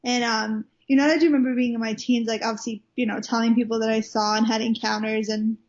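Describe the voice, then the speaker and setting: A woman's voice: fairly high pitch, flat delivery, quick pace. A young woman from Wales with an American-sounding accent, telling her story as a guest in a casual interview, full of fillers and restarts. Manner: chatty, many fillers and restarts. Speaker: guest minister. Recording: muffled lo-fi recording.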